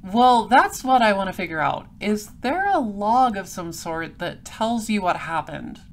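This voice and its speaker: A woman's voice: mid-range voice, very animated, unhurried. Dry, wry detective played by a woman. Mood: businesslike. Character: sardonic, self-assured space patrol detective, narrating. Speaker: detective and narrator. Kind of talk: audio drama acting and first-person narration.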